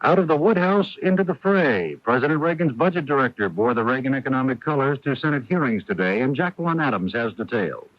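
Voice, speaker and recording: A man's voice: mid-range voice, animated, fast talker, few pauses, dry recording. An American man anchoring a scripted radio newscast, on an old broadcast recording. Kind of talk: scripted news narration. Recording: dull lo-fi 1980s radio broadcast.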